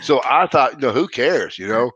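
A man's voice: mid-range voice, lively intonation, normal speed, fairly bright tone, slightly rough, dry recording, lo-fi sound. Congested man rambling in casual chat. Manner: rambling, self-mocking, frequent restarts. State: congested, stuffed up. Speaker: co-host.

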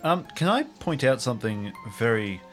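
Animated man improvising in role-play. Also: medium pitch, moderate pace, faint music behind. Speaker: player in improvised audio drama.

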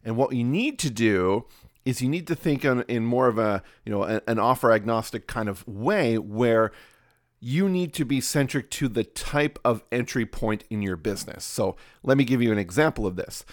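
A man's voice: medium pitch, animated, normal speed, no room sound, clean studio sound. A man with a cold, sounding slightly nasal, hosts a solo podcast in a casual coaching manner with many fillers and restarts. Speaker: podcast host.

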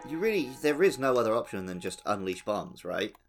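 A man's voice: fairly low pitch, big pitch swings, a little fast, soft background music. A man co-hosting a casual gaming podcast, explaining things in an analytical, step-by-step way. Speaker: podcast co-host. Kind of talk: casual video game discussion.

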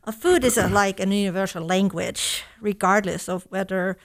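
A woman's voice: medium pitch, steady tone, normal speed. A woman from Germany, a baker and chef, answering interview questions in a somewhat formal way.

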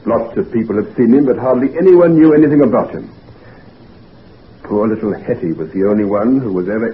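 An actor playing a concerned, frank physician friend, chummy and old-fashioned, in a 1940s radio drama. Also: male voice, medium pitch, close to an everyday voice. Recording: noisy, tinny 1940s radio recording.

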